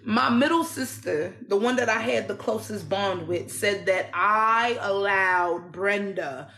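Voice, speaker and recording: A woman's voice: medium pitch, takes it slowly, sharp tone, roomy sound, a little hiss or hum. A woman from Trinidad who speaks with an American accent, telling her own story in a blunt, candid and raw way, with swearing and wry laughs. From Trinidad and Tobago. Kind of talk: personal testimony to camera.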